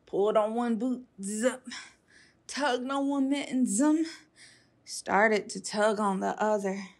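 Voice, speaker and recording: A woman reading a children's storybook aloud expressively, with playful emphasis. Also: fairly high pitch. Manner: emphatic, playful sound words. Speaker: storytime reader.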